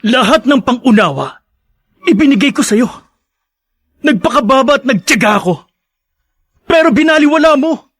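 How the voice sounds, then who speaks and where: An actor playing a long-suffering young husband and dutiful son, earnest and weary, in radio drama style. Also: male voice, very high-pitched, animated, normal speed, pauses often, a bit bright, breathy edge, no echo, clean studio sound, full character voice. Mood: hurt, fed up.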